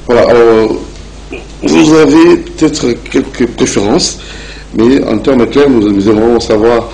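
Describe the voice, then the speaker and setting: A man with a Congolese accent hosting a television interview in halting, wordy phrases. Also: medium pitch, creaky, a little mellow, low-quality recording. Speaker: interviewer, show host.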